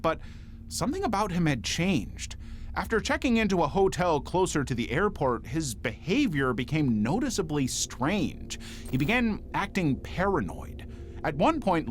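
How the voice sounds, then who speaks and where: A man's voice: fairly high pitch, slight background noise. A man reading scripted true-crime narration as a narrator.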